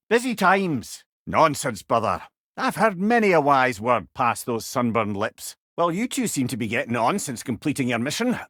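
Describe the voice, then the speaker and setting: A man's voice: a little high, pushed and bright, no echo, clean studio sound. A man playing a witty, avuncular old Scottish counsellor in a video game, a severed head who talks in a wry, courtly, chatty way with salty turns of phrase. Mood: genial, teasing. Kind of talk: video game character dialogue.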